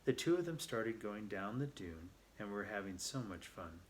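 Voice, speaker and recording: A man telling a children's story aloud, reading a little haltingly and repeating words as he goes. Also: lowish voice, big pitch swings, a little hiss or hum.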